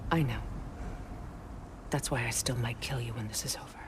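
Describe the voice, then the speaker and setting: An actress playing a proud, wary goddess in a video game, speaking in a curt, formal and commanding manner. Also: female voice, very deep voice, normal speed, noisy background. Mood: grim resolve. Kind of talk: dramatic video game acting.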